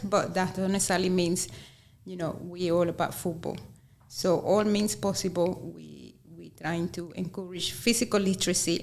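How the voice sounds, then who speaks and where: A woman's voice: fairly low pitch, vocal fry. A woman from Venezuela with a Venezuelan Spanish accent, a radio interview guest who works on a community sports program. Her first language is Spanish, and she talks earnestly, repeating words while she searches for them.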